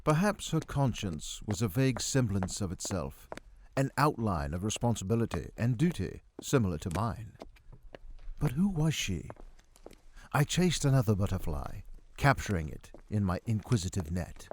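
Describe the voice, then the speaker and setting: A man's voice: fairly low pitch, very animated, normal speed, slight background noise. A man narrating a dramatic first-person story as a miserly, befuddled middle-aged doctor, in a theatrical, literary delivery. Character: miserly, befuddled 45-year-old male doctor recounting his own tale.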